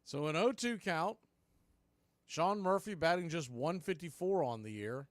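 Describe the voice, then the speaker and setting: A man doing live baseball play-by-play on radio, speaking in clipped phrases with pauses between calls. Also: a little high, lively intonation, no echo.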